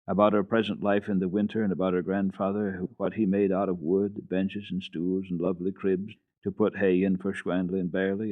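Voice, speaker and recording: A man's voice: low voice, dry recording, narrow, tinny audio. A man narrating a children's classic as a radio storyteller, in a dramatic reading style.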